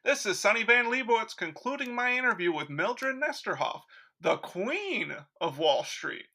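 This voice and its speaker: A man's voice: high voice, very animated, normal speed, pushed and bright, exaggerated voice. A man playing a chipper old-time radio host with an announcer's showmanship, in 1940s radio style. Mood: chipper, polished.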